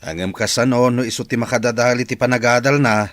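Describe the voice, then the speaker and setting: A man's voice: mid-range voice, fairly even intonation, fast, fairly bright tone, no echo, lightly acted. An actor playing a concerned, disapproving middle-aged father with a Filipino accent in a radio drama.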